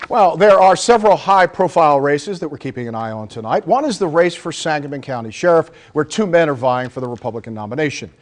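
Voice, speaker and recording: A man's voice: on the high side, expressive, quick pace, hardly any pauses. A man with an American accent reading a scripted local television newscast as anchor. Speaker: news anchor. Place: TV news studio.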